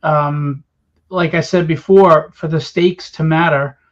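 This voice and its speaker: A man's voice: fairly high pitch, steady tone, slow. A man speaking casually as a writer guest on a panel, his sound a little muffled.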